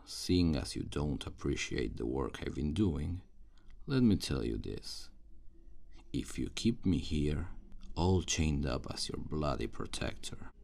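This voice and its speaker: Casting: male actor as sardonic demon protector for ASMR roleplay; rock-bottom pitch, creaky fry, menacing mock courtesy, whispery dips. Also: a little mellow, full character voice. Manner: slow, deliberate, taunting, mock-polite, drops into whispers.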